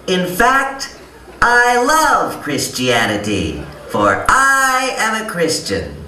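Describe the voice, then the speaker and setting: A man's voice: high-pitched, sharp tone, full character voice. A man voicing a pious, chirpy squirrel puppet who announces and preaches with showy, mock-earnest deliberation on a live stage. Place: live on stage in a music venue. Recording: echoey live hall, distant, faint noise.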